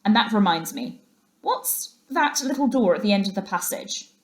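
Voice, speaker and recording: A woman's voice: mid-range voice, lively intonation, normal speed, natural voice. A woman voicing a cheery, capable male water rat in a storybook, hearty and bustling, with old-fashioned turns of phrase. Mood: teasing, jolly.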